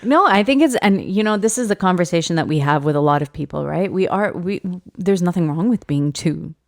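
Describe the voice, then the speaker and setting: A woman in her late twenties co-hosting a teachers' podcast, speaking earnestly and deliberately, repeating phrases for emphasis. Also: fairly low pitch, lively intonation. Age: late 20s. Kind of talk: reflective podcast conversation.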